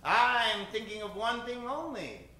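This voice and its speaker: A man's voice: sits high, big pitch swings, measured pace, background noise. A man narrating and announcing in a theatrical, melodramatic old-time radio style. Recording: echoey stage, music behind.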